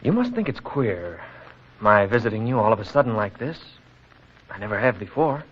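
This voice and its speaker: A man's voice: fairly low pitch, big pitch swings, moderate pace. A man playing a troubled, earnest young townsman with a formal manner in a 1940s radio drama. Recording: muffled, noisy vintage radio recording.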